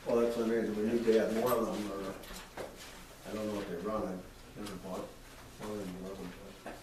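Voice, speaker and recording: Brief: low-voiced man, cautious and halting, heard from a distance in a very echoey room. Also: level tone. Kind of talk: casual meeting discussion. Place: town hall meeting room. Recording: echoey room from far off, noisy.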